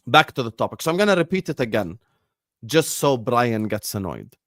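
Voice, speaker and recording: A man's voice: medium pitch, sing-song, moderate pace, fairly bright tone, no room sound, clean studio sound. A man from Jordan whose first language is Arabic, hosting a football live stream in a combative, repetitive way. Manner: combative, repeats phrases, fillers like "you get me". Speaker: football channel host.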